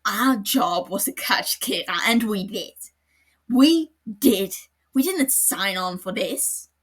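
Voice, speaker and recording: A woman voicing an angry, blunt adult male detective in a podfic, with loud, scornful delivery. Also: mid-range voice, lively intonation, takes it slowly, husky. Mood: furious. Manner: furious, scornful, shouting. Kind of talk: podfic character reading.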